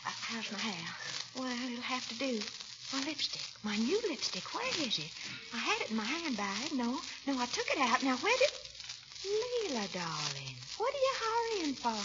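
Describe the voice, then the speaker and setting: A woman's voice: sits high, very animated, normal speed. An actress playing a coy, vain, flirtatious Southern lady in 1940s radio comedy style, with a teasing, affected manner. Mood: fretful, self-absorbed. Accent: Southern American. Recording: muffled, noisy old radio recording.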